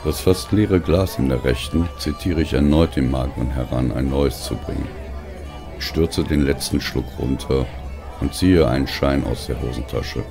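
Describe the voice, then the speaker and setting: A man narrating a scripted first-person audio story in a slow, weary, brooding way. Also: extremely low pitch, creaky. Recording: music behind the voice. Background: music.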